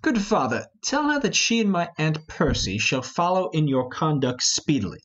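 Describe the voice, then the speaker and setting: A man playing an earnest, lovestruck young lord in an amateur Shakespeare reading, expressive and lyrical. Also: fairly high pitch, moderate pace, highs cut off. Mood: vexed.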